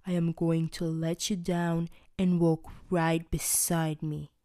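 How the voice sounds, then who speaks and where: A woman's voice: sits low, flat delivery, slow pace, dark tone. A woman voicing a big, bossy giant who barks orders, in a storybook reading. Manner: barking orders.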